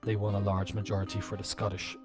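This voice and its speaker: A man teaching business English on a live stream, explaining slowly with many "um"s and "uh"s. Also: on the low side, completely flat delivery, over music. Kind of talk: live-stream language lesson.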